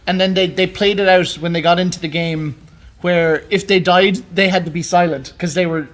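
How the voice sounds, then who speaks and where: A man's voice: high voice, fairly even intonation, moderate pace. A man co-hosting a podcast, chatting casually and telling stories in an informal, enthusiastic way. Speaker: podcast co-host. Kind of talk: casual chat about games.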